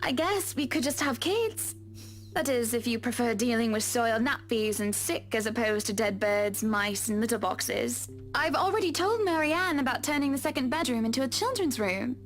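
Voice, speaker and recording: A woman playing a playful, affectionate young wife in a visual novel, teasing and gentle. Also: fairly high pitch, expressive, normal speed, faint music behind, close to an everyday voice. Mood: joking. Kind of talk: visual novel character acting.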